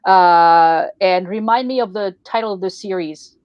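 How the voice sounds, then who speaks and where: A woman's voice: mid-range voice, measured pace, clear, resonant tone, no room sound. Woman, native French speaker, dry close sound, dull lo-fi call audio, casual explainer with fillers. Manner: explanatory, many fillers and "so on and so forth". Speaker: podcast co-host. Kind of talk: casual advice talk.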